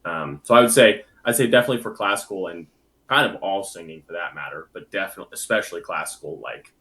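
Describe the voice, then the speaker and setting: A young man and bass singer, a guest chatting casually in an interview, easygoing and full of filler words. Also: quick pace, fairly bright tone. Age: young adult.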